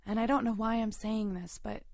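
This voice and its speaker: Audio drama girlfriend, played by a woman: hurt but resolute, controlled delivery, frequent vocal fry, close dry sound. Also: medium pitch, fairly even intonation, moderate pace, a little mellow, no room sound, natural voice. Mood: resigned, sad. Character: hurt, resolute girlfriend leaving her long-term boyfriend. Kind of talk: audio drama acting with first-person narration.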